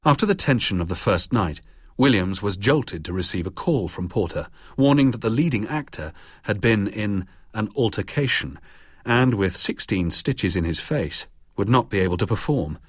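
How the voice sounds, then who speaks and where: A man reads scripted documentary narration at a measured, steady pace. His voice sits a little low with a slightly husky edge, recorded close and dry with no room around it. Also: dry recording. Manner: measured, even reading.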